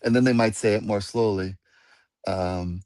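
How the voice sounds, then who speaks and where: A man's voice: on the low side, fairly even intonation, a little slow, a little mellow, clear, resonant tone, no room sound. A man teaching Spanish in a casual chat, patient and spelling words out letter by letter. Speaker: guest Spanish speaker.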